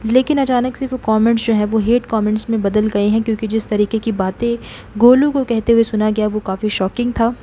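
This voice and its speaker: Even-toned Indian woman, slightly high voice. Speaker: gossip vlogger. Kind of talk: informal commentary narration in Hindi mixed with English words. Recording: faint background noise.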